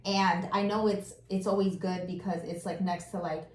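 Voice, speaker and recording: A woman's voice: medium pitch, little pitch movement, normal speed. Bubbly chatty woman host, echoey room. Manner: bubbly, laughs often, chatty with fillers. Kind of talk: casual chat show interview.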